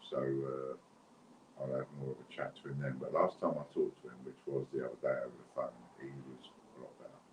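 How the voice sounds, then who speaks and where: A British man with an extremely deep voice rambles casually as he hosts a livestream. He talks unscripted to his viewers, often thinking aloud. The recording is echoey, with faint noise underneath. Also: little pitch movement, a little fast. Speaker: host of an online raffle and giveaway stream. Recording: roomy echo with faint background noise.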